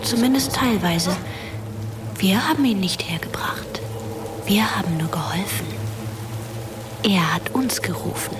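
An actress playing a soft-spoken, reproachful dead teenage girl who narrates in a radio drama. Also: medium pitch, unhurried, long pauses, far from the mic, background noise, close to an everyday voice. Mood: hushed, somber.

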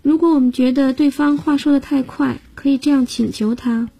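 A woman's voice: high voice, steady tone, normal speed, creaky, dark tone. A woman hosting a radio language lesson, patiently explaining grammar and guiding listeners step by step. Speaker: language teacher and host.

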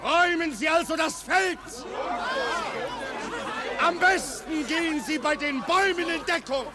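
A snobbish marquis in an old radio play. The voice is very high and declaims slowly, with formal grandeur. A loud crowd and noise surround it on the dated recording. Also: male voice. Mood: ceremonious, defiant. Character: snobbish marquis, a grand ceremonious host of lavish parties. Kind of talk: 1950s German radio play acting.

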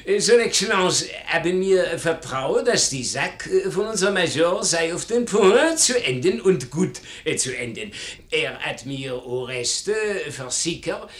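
An actor playing a boastful, penniless French ex-captain and gambler, speaking halting broken speech with a French accent in 1950s radio drama style. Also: male voice, on the high side, lively intonation, a bit bright. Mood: self-important, eager. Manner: halting broken German peppered with French, florid. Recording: roomy 1950s radio studio recording.

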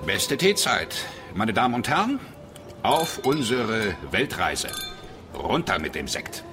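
A man's voice: lowish voice, very animated, takes it slowly. An actor playing a hearty, pompous travelling salesman in a radio drama, making formal, jovial pronouncements.

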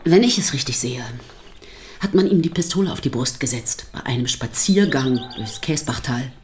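An actress playing a sceptical pastor's wife in a radio drama, dry, articulate and dismissive. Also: female voice, a bass voice, lively intonation, normal speed, a little mellow. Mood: troubled recounting. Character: sceptical, sardonic pastor's wife under questioning. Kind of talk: naturalistic radio drama acting.

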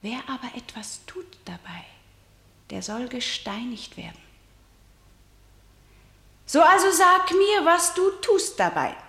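Defiant young tragic heroine, grieving, solemn verse delivery, highish voice, echoey radio play. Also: female voice, lively intonation, takes it slowly, pauses often, fairly bright tone, exaggerated voice. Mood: challenging. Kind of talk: German classical tragedy radio play. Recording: echoey room sound.

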